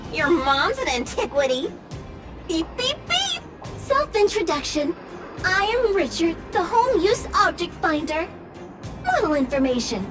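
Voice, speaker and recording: A woman's voice: very high-pitched, full character voice. A woman voicing a chirpy, cheeky little object-finder robot in a video game, beeping and announcing in clipped fragments. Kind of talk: video game character acting.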